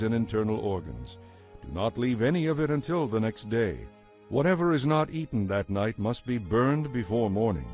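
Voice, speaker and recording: A man narrating scripture from a script as a solemn, measured storyteller. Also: medium pitch, darkish tone.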